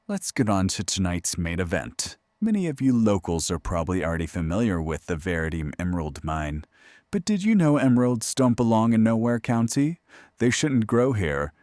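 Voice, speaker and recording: An actor playing an eerie, wryly playful late-night radio host, narrating horror in a measured, ominous way. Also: male voice, sits low, a little mellow, no room sound. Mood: wry, intriguing.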